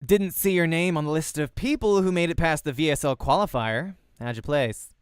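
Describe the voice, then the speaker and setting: A man's voice: fairly high pitch, moderate pace, clear, resonant tone, no room sound, studio quality, voice pushed up, close to an everyday voice. A man voicing a brash, flippant young woman pro gamer who talks in a curt, interrogating way in a visual novel. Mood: challenging.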